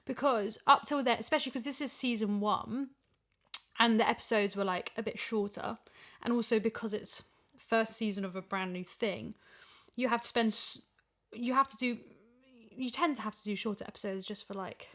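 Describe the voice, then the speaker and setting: A woman's voice: on the high side, normal speed, a bit bright. A woman in a casual podcast chat, talking emphatically and repeating phrases for stress as a voice actor discussing an audio drama.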